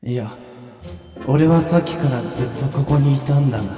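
Distant, echoey, terse quiet man, voiced by an actor. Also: a little fast, natural voice. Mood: subdued. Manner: terse, low-key. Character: quiet, unnoticed, socially awkward male coworker. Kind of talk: audio drama acting. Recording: distant reverberant voice with music.

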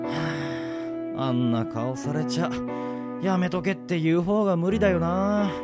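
A man playing an easygoing middle-aged swordsman mentor in a radio drama, moving between polite formality and gruff casual talk. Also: a little high, unhurried, loud music behind the voice, close to an everyday voice. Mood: weary, musing. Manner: switches between smooth polite formality and rough casual drawl. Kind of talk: anime-style radio drama acting.

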